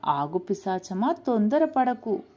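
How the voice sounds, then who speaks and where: A woman's voice: medium pitch, sing-song, takes it slowly, mellow. A woman playing a frightened but clever village man who bargains politely in a storybook tale. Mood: pleading.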